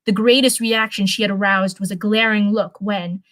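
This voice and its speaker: A woman's voice: mid-range voice, steady tone, moderate pace, a bit bright. A woman reading fan fiction on a live stream in an informal way, with chatty asides.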